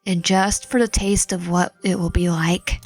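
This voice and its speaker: A woman reads a visual novel aloud for a gaming video. Her delivery is halting, with stumbles that she corrects and casual asides of her own. Music plays behind her voice. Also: lowish voice, flat delivery, darkish tone, no echo. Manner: halting reading with frequent stumbles and self-corrections. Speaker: video game streamer reading aloud. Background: background music.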